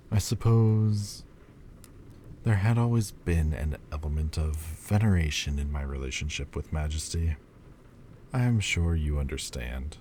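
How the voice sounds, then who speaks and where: A man playing a very deep, brooding demon lord. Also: slight background noise. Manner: slow, quiet, halting confession. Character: brooding ancient male demon lord, wistful. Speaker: voice actor. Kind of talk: audio roleplay monologue.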